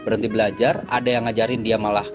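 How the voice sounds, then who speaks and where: A middle-aged man, a forex trading mentor, talking in a relaxed, casual interview and explaining with everyday examples, dropping English words into his talk. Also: lowish voice, fast talker. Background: background music.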